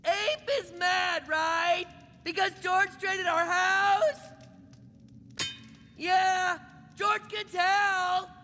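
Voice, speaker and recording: An actor voicing a friendly, dim-witted cartoon jungle man who is excitable and naive. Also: very high-pitched, very slow, pauses often, a little hiss or hum, full character voice. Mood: cheerfully oblivious. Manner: naive, refers to himself in third person. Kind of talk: cartoon voice acting. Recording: loud music behind.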